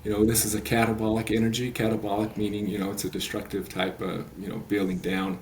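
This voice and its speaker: A man in his late thirties with a lowish voice speaks earnestly, wandering through his points with plenty of fillers and restarts. A faint hiss of room ambience sits under him. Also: completely flat delivery, fast, a little mellow. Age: 30s. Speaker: guest, resilience coach. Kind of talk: podcast interview.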